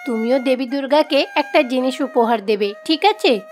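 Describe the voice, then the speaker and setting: A woman's voice: sits high, normal speed, darkish tone, faint music behind, lightly acted. A woman voicing a helpful village neighbour who instructs kindly in a fairy-tale cartoon.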